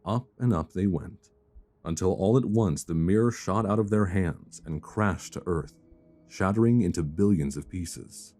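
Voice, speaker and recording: A man softly reading a fairy tale as sleep-aid ASMR, measured and soothing, close to a binaural microphone. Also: low-pitched, faint music behind. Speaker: storyteller.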